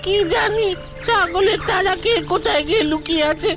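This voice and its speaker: A woman's voice: extremely high pitch, normal speed. A woman voicing a chatty, pushy young schoolchild in a cartoon, talkative and exclamatory. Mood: scornful. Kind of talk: cartoon dub acting. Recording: music behind.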